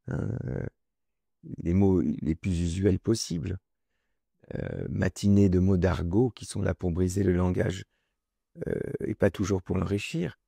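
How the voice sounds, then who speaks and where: A man with a very deep voice that is husky and gravelly speaks as a guest in a conversation. He talks reflectively, circling back on words as he thinks. The recording is dry and close. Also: mellow, no echo. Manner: reflective, repeats words when searching. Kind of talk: conversational discussion.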